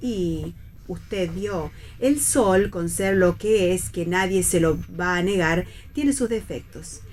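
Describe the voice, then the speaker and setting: A woman's voice: on the low side, slow, darkish tone. Polite, rambling Argentine mother, faint noise. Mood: rambling, earnest. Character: middle-aged mother, polite, anxious, rambling and conciliatory. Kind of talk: theatrical play reading for radio.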